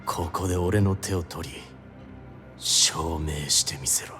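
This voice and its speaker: A cold, commanding villain doctor played by a man in an audio drama. The voice sits very low and moves slowly, every phrase imperious and threatening. Music plays faintly behind it. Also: a bit bright. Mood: cold menace. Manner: slow, imperious, menacing. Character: cold, commanding male villain doctor with inhuman healing powers.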